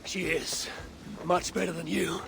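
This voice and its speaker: A woman playing a blunt, suspicious Viking warrior in a video game, with a low, husky voice and a Scandinavian accent. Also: steady tone, unhurried. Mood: challenging. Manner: blunt, terse, challenging. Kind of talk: video game cutscene acting. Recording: game scene with ambient noise.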